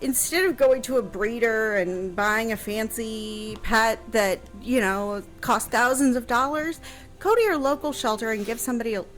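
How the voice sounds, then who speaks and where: A woman's voice: a little high, moderate pace, soft background music, poor audio. A woman chatting casually on a radio show, answering a history question with lots of fillers and restarts.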